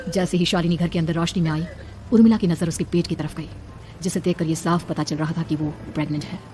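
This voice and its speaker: A woman's voice: low-pitched, steady tone, fast talker, dark tone, a little hiss or hum. A woman narrating a scripted horror cartoon story in a dramatic storytelling style.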